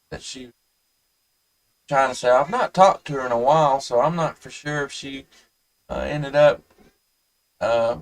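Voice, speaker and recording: A man chatting casually and haltingly as an amateur radio operator. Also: medium pitch, clean tone, recorded from a distance, low-quality recording. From United States. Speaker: amateur radio operator hosting a live stream.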